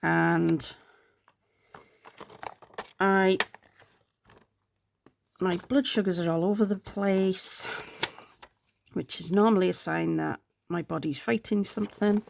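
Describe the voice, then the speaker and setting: A woman's voice: fairly low pitch, fairly even intonation, takes it slowly, vocal fry, pauses often, a little mellow, a little hiss or hum. A woman chatting casually while planning, congested and with a sore throat, rambling and sometimes murmuring to herself. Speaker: planner vlogger.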